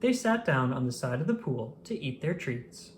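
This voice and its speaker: A woman reading a children's picture book aloud in an expressive storytelling manner. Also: very deep voice, normal speed, a little hiss or hum. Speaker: storybook reader. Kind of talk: children's read-aloud narration.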